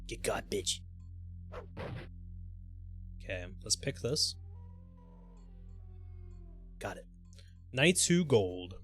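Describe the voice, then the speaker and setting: A man live-streaming a game with casual, exclamatory commentary, reacting out loud and swearing freely. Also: mid-range voice, sing-song, slow pace, long pauses, soft background music.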